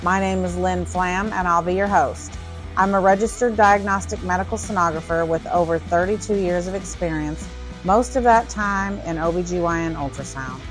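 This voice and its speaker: A woman in her fifties hosting a podcast, delivering a polished scripted intro and interview questions in an even voice. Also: fairly low pitch, moderate pace. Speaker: podcast host, sonographer. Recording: dry close mic with faint music. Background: faint music.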